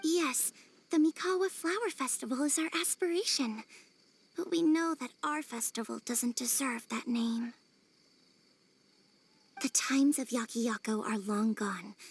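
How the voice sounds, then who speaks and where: A woman's voice: sits high, measured pace, slightly rough, heavily acted. A woman voicing a small yokai creature in a video game, modest, loyal and earnest. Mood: humble, sincere.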